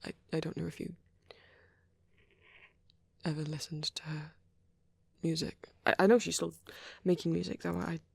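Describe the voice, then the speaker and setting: A man doing a soft, often whispered ASMR roleplay as a shy, gentle young vampire boyfriend, rambling hesitantly in a breathy, husky voice. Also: fairly high pitch, little pitch movement, normal speed, long pauses, dry recording, clean studio sound.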